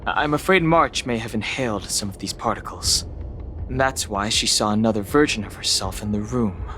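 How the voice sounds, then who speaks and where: An actor playing a calm, cautious male scholar in a game dub, explaining things seriously in a measured way. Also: lowish voice, soft background music. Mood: measured concern. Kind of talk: English game dub acting.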